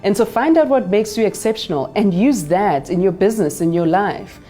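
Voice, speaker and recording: A woman in her thirties from South Africa, a business speaker telling her story in a calm, measured, motivational way in a studio. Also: medium pitch, soft background music. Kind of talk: personal story to camera. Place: studio with pink backdrop.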